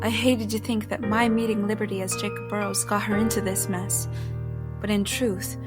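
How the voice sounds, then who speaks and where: A woman's voice: medium pitch, flat delivery, normal speed, over loud music, lightly acted. A woman playing an earnest, anxious young heroine in a first-person audio drama, with a dramatic delivery. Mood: tense, reflective. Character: anxious young woman heroine, resourceful, narrating her own mystery.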